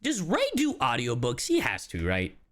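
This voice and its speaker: A man streaming casual live reactions to a video game, excitable and sweary in how he talks. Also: mid-range voice, moderate pace.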